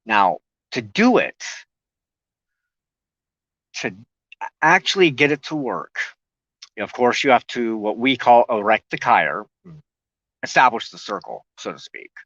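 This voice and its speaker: A man giving an informal spoken teaching with personal reminiscence, halting, with frequent pauses and throat clearing. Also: medium pitch, expressive, fairly bright tone. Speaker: host of a spiritual broadcast. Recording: muffled, echoey room recording.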